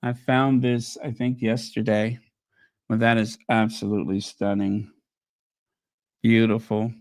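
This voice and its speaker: A man hosting a casual livestream, musing aloud and chatting with viewers, with many pauses and ums, while saying he is losing his voice. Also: lowish voice, flat delivery, darkish tone, no echo. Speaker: channel host.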